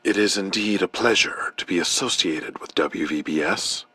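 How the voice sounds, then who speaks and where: A grandiose wrestling promoter with a very low, raspy, forceful voice. He boasts like a 1980s television showman in an audio drama, recorded close and dry. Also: moderate pace, dry recording, heavily acted. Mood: boastful, proud. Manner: grand, self-important.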